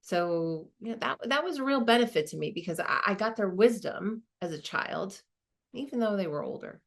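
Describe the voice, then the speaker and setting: A woman's voice: mid-range voice, expressive, moderate pace, close to an everyday voice. A woman playing a reflective, wry lawyer in a conversational stage monologue about her own life. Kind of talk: dramatized first-person monologue, conversational.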